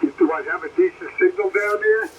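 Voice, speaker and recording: A man's voice: high-pitched, huge pitch swings, quick pace. A man from Brooklyn with a Brooklyn accent, talking bluntly and joshingly on ham radio. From Brooklyn, New York. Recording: distant, dull radio signal with faint noise.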